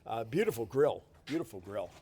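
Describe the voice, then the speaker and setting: A man's voice: on the low side, big pitch swings, fairly quick, dark tone, slightly rough. An older man showing off a car in casual chat, jokey and often repeating himself. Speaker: car show regular.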